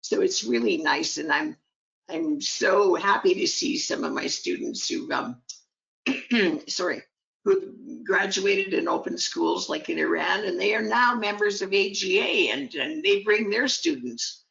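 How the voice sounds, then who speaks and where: A woman's voice: mid-range voice, lively intonation, unhurried, vocal fry, a bit bright, muffled recording. A woman teacher being interviewed, speaking proudly and heartfelt about her students. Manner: proud, heartfelt, with some restarts. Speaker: guest, gemology teacher. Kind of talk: conversational interview.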